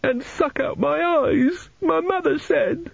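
Squeaky, stammering, tearful little schoolboy. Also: male voice, very animated, a little slow, a little mellow, breathy edge, no echo, highs cut off, heavily acted. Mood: tearful, doom-laden. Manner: stammering, hesitant. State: tearful, sniffling. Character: chubby, tearful, pious young schoolboy, timid and fervent.

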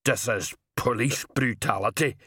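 A man's voice: mid-range voice, unhurried, pushed and bright, slightly rough, no room sound, clean studio sound. A man voicing a cowed, shifty troll craftsman in a storybook, muttering grudgingly in broken grammar. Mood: aggrieved.

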